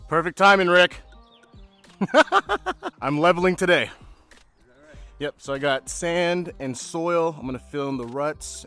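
A man's voice: a little high, sharp tone. A man vlogging outdoors in a yard, explaining lawn work in an upbeat, chatty way and laughing often. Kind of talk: casual how-to vlog.

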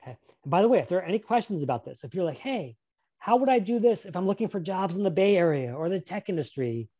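Man, career workshop presenter on a webcam, lively pitch, mellow tone, upbeat and encouraging. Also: fast. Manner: upbeat, encouraging, asks questions. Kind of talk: live webinar presentation. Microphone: webcam on a video call.

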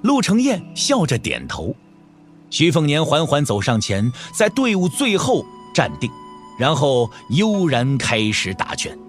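A man narrating an audio drama from a script in an expressive storytelling manner. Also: a little high, measured pace, faint music behind.

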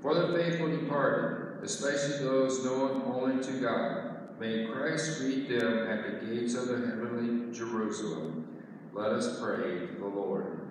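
A man reads the prayer petitions at Mass in a measured, formal way. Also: mid-range voice, a little hiss or hum. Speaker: lector reading petitions. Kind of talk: reading intercessions. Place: large church. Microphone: far camera. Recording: echoey church from far off.

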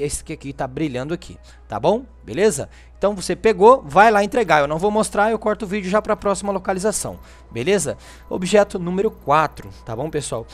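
Higher-voiced Brazilian man, chatty game commentary. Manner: informal, chatty, frequent tag questions. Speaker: gaming channel host. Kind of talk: casual video game walkthrough commentary.

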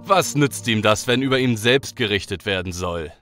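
A man's voice: on the low side, animated, moderate pace, a bit bright, faint music behind. A man playing a smug, scornful thunder god in an audio drama, haughty and mocking. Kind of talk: German audio drama acting.

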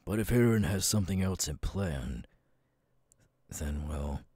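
A man voicing a stern, weary, guarded military commander in an ASMR audio roleplay, husky and brooding. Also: deep voice, lively intonation, measured pace, darkish tone, dry recording, clean studio sound, heavily acted. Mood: businesslike. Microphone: close, dry microphone.

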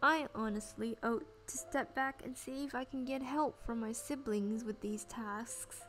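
A sweet, earnest young florist from a visual novel as a woman player reads her, musing gently in a fairly high voice. The words come slowly, with music clearly behind them. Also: fairly even intonation. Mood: musing, relieved.

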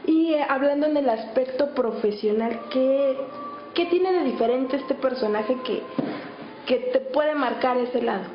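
Higher-voiced woman interviewer. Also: measured pace. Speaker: interviewer and presenter. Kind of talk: interview questions and scripted introductions. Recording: slightly muffled, faint background noise.

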